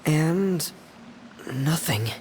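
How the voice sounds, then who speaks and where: An actor playing a quiet, melancholy teenage boy in a video game, soft-spoken and hesitant. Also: on the high side, lively intonation, drawn-out words, a little hiss or hum. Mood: downcast.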